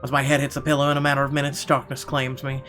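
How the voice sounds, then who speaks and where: A man narrates a horror visual novel in the first person as he plays. His medium-pitched voice is dramatic and expressive, with faint music running underneath. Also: very fast. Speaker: let's player reading game narration.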